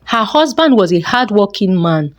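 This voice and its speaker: Expressive woman telling a folktale. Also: medium pitch, moderate pace, no echo, clean studio sound. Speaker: storyteller. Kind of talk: scripted folktale narration.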